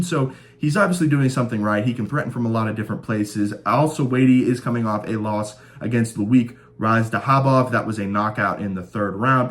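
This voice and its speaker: A young man hosting a casual fight prediction show, joking and rambling, slightly stuffed up from a cold. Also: medium pitch. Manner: laid-back, joking, rambling with fillers. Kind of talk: casual sports prediction chat.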